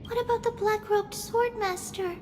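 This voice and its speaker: Squeaky little demigod girl. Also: fairly even intonation, moderate pace, darkish tone, slight background noise. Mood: earnest. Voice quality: squeaky, childlike. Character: tiny childlike girl demigod, sweet and earnest. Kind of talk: dubbed anime game dialogue. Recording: game music behind.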